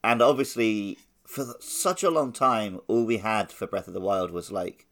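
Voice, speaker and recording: A man's voice: fairly low pitch, moderate pace, a bit bright. A man co-hosting a podcast, talking in a casual, conversational way with lots of "like". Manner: conversational, peppers talk with "like". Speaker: podcast host.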